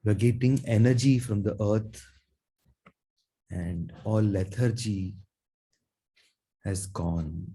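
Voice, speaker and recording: A man with a low, very mellow voice guides a meditation. He speaks slowly and gently, in short soothing phrases with long pauses between them. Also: fairly even intonation, slightly rough. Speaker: meditation guide, Reiki teacher.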